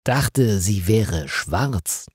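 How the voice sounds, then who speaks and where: A male storyteller plays an ordinary man in a mystery story, baffled and easily irritated. He muses to himself slowly, with expressive swings in pitch and a rough, husky tone. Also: dry recording, clean studio sound, exaggerated voice. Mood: puzzled musing.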